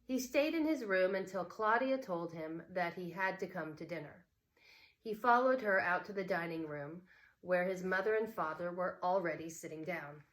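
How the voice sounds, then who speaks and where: A woman's voice: on the low side, expressive, normal speed, poor audio. A woman teacher who talks informally to her students and reads stories aloud expressively. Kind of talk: casual talk and expressive read-aloud.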